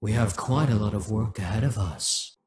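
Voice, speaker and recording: A man's voice: lowish voice, normal speed, a little mellow. A man voicing a possessive, scheming male demon king in an audio roleplay, speaking in a mocking, menacing way.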